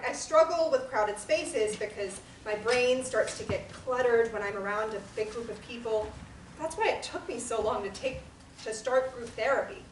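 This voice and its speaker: A woman plays an anxious young woman in group therapy, her voice slightly high. She rambles and hesitates, as if checking herself mid-sentence. The recording sounds distant and roomy. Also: slight background noise, lightly acted. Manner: hesitant, rambling, self-conscious. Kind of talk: table reading of a stage play. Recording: echoey room from a distant mic.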